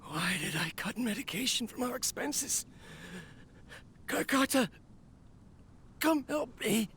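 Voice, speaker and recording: An actor playing an ailing, obsessive inventor in a video game, weary and regretful, weak and short of breath, muttering haltingly and slipping into whispers. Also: male voice, very high-pitched, animated, a bit bright, a little hiss or hum, full character voice. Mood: pained, panicked. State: weak, coughing, gasping for breath. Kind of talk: dramatic video game acting.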